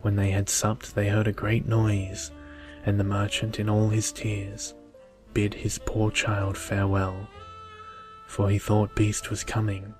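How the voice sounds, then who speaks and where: A man reading a bedtime story in an ASMR style, soft, measured and soothing. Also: on the low side, completely flat delivery, over music.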